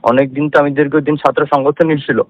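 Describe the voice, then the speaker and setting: A man's voice: a little high, level tone, fairly quick, no room sound. A man with a Tripura Bengali accent giving interview answers as an election candidate over the phone, mixing in English phrases. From Tripura, India. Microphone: telephone. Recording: dull lo-fi phone line.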